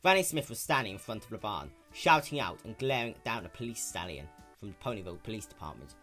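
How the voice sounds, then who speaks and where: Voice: mid-range voice, very animated, fast, pushed and bright. A narrator giving a dramatic reading of a fan fiction story. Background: background music.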